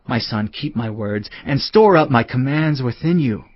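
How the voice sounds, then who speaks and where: A man's voice: medium pitch, animated, normal speed, dry recording. A man giving a dramatised Bible narration as a solemn, admonishing fatherly teacher. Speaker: scripture narrator. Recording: slightly muffled, music bed behind. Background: background music.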